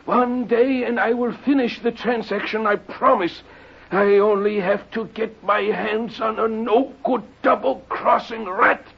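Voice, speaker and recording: High, gravelly, desperate junk dealer. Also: male voice, fairly even intonation, unhurried, exaggerated voice. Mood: desperate, pleading. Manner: insistent, repetitive pleading. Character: desperate, pleading, shady junk dealer man. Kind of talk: 1950s radio drama acting. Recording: dull old radio recording.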